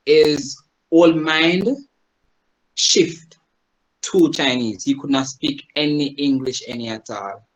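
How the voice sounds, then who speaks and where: A man's voice: fairly high pitch, a bit bright, low-quality recording. Jamaican man, language teacher presenting over a video call, explanatory and conversational. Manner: explanatory, asks the audience "right?" often. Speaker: guest presenter, Chinese teacher. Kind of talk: online presentation to a group.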